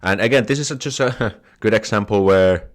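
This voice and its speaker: A man's voice: on the low side, normal speed. A young man from Finland with a Finnish accent, chatting on a live stream as host, reading comments aloud, laughing things off and restarting words often. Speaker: YouTube host.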